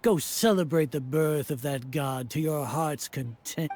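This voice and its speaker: A man's voice: a little high, a little slow, a little mellow, slight background noise, natural voice. A man playing a haughty, stern older scholar-official in a video game, formal, pompous and disdainful. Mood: contemptuous.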